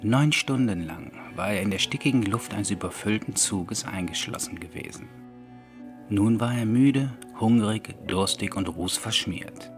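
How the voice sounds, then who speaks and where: A man narrating a scripted horror audiobook in a measured, deliberate storytelling manner. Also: fairly low pitch. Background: soft background music.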